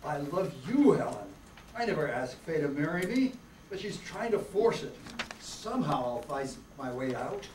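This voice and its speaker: A man's voice: on the high side, sing-song, normal speed. A man playing an earnest, worried lawyer in love in an old-time radio soap opera. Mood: pleading. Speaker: amateur performer in a radio play reenactment. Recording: echoey room, far from mic, hiss.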